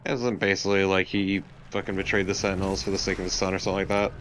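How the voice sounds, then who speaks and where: A man's voice: deep voice, level tone, quick pace, noisy background. A man bantering casually as a co-commentator over gameplay. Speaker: let's play co-commentator.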